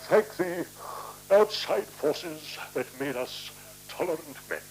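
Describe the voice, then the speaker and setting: A man's voice: fairly high pitch, animated, slow, soft background music, low-quality recording. An actor playing a chatty, order-obsessed British man in a 1970s radio play, fussy and colloquial. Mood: easygoing. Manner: fussy, colloquial, hesitant asides. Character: chatty, order-obsessed, matey man organizing shared lodgings. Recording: hissy, humming 1970s radio recording.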